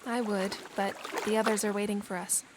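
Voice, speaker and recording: An actress playing a modest, warm-hearted young lady who speaks in a proper, hesitant way, in a period audio drama. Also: medium pitch, flat delivery, fairly quick, background noise, close to an everyday voice. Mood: flustered.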